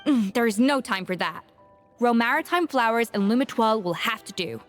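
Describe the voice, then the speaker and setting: A young female game adventurer, voiced by a woman, thoughtful and practical. Her voice is fairly high and measured, with faint music behind. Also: lively intonation, lightly acted. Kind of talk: video game voice acting.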